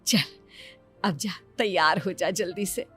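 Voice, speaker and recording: A woman voicing a caring middle-aged mother in a cartoon moral story, coaxing and gently insistent. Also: fairly low pitch, measured pace, breathy edge, faint music behind.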